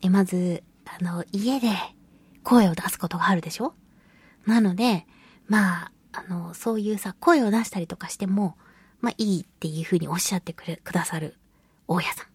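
A woman hosting a solo radio show, chatting in an animated, self-deprecating storytelling manner. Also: fairly low pitch, moderate pace, husky, no echo. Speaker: radio show host and voice actress.

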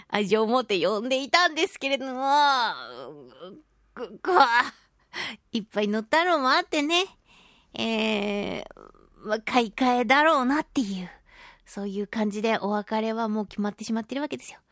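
A woman hosting a casual solo radio chat, talking in a playful, animated way with touches of mock-grand phrasing. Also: fairly high pitch, normal speed, no echo, clean studio sound. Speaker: radio show host and voice actress.

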